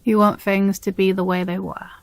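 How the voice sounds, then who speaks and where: A woman playing a possessive, jealous demon girlfriend in an intimate audio roleplay, breathy and often whispering, with condescending sweetness. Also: medium pitch, little pitch movement, no echo, close to an everyday voice. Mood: condescending, cold. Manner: slow, deliberate, sighing, condescendingly sweet.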